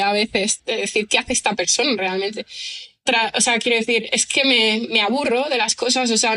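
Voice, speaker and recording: A woman's voice: a little high, pushed and bright. A middle-aged woman, a writer talking through her ideas as an interview guest, full of fillers and restarts. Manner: thinks aloud with many fillers and restarts, laughs easily. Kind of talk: reflective conversational interview.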